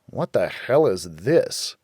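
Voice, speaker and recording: A man voicing a gruff, weary, stubborn loner in a hardboiled noir audiobook, terse and world-weary. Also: on the low side, sing-song, takes it slowly, darkish tone, no echo, clean studio sound, voice pushed down, full character voice. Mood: curt, guarded.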